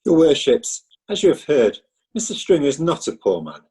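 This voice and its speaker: An actor playing a smooth-talking defence lawyer in a radio play, pleading persuasively with rhetorical questions. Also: male voice, on the high side, wildly swooping pitch, normal speed, mellow, heavily acted. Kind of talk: radio drama acting.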